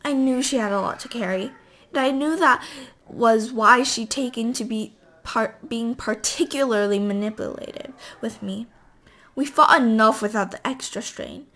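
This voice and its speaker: A woman reading a novel aloud as an amateur, halting at times as she stumbles over words and corrects herself. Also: fairly high pitch, fairly bright tone. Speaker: amateur reader.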